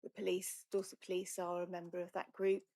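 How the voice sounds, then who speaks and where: A woman's voice: lowish voice, monotone, brisk, dark tone, no echo. A woman, a council officer, answering briefly and to the point at a meeting.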